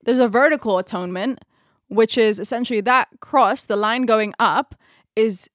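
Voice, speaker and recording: A woman's voice: a little high, moderate pace, no echo, studio quality. Woman leading a reflective bible study. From Philippines. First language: Tagalog. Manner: reflective, searching for words, laughs at herself. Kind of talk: closing a bible study discussion.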